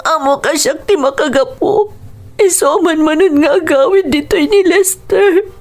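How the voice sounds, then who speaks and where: A woman's voice: high-pitched, a little mellow. A woman with an Ilocano accent playing a sorrowful young wife in a radio drama, pleading tearfully. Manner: tearful, slow, pleading delivery.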